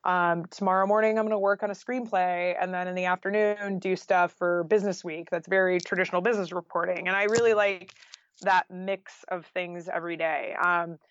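A woman's voice: on the low side, fairly even intonation, brisk, no echo. American woman chatting in an interview, candid and informal, dry kinda lo-fi sound. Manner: candid, informal, peppered with "like" and "you know". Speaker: guest, freelance journalist. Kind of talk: casual interview conversation.

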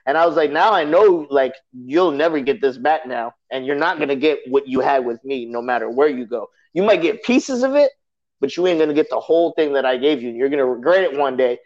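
A man's voice: mid-range voice, fairly quick, darkish tone. A man in his thirties talking emphatically in a slangy, casual way as a podcast guest. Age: 30s. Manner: emphatic, slangy, repeats "you know what I'm saying". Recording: dull lo-fi sound.

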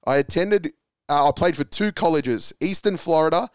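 A man's voice: fairly high pitch, normal speed, clean tone, dry recording. An Australian man hosting a sports quiz podcast, upbeat as he reads clues and calls answers. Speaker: quiz host.

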